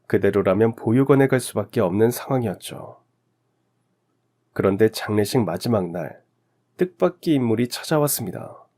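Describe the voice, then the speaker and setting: Radio story narrator: male, lowish pitch, scripted first-person storytelling. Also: lively intonation, moderate pace, warm tone.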